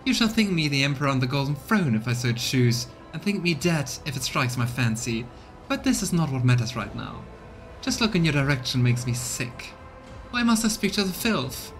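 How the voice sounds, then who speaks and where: A man's voice: fairly high pitch, big pitch swings, normal speed, pitched above the performer's own voice. A harsh, commanding noblewoman from a video game, acted out by a man. She is haughty and openly scornful, talking down to everyone as filth. Music plays behind the voice. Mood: contemptuous. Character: harsh, angry, commanding noblewoman, contemptuous and imperious.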